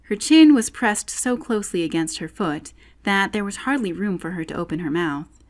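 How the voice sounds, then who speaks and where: A woman's voice: medium pitch, expressive, normal speed. A woman reading a children's classic aloud as a scripted audiobook narrator.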